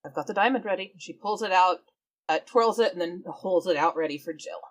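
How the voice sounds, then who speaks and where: A woman's voice: lowish voice, fairly quick, slight background noise. A woman playing a tabletop role-playing game, casually narrating her character's actions and explaining things. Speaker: player.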